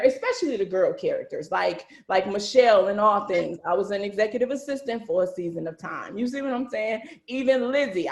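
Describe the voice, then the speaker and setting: A woman hosting an informal group chat on a video call, telling stories casually and often checking in with listeners. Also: fairly high pitch. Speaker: host and series writer. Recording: roomy echo over video call.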